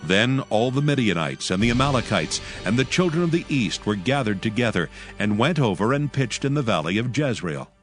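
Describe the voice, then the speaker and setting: A man narrating scripture from a script in a solemn, measured manner. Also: mid-range voice, sing-song. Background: music.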